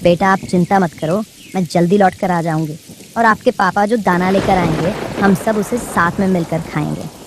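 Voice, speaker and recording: A woman voicing a caring, anxious mother sparrow in a bird cartoon, gentle and concerned. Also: on the low side, normal speed, close to an everyday voice. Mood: reassuring. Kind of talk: Hindi bird cartoon voice acting. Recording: noisy, rain and thunder behind.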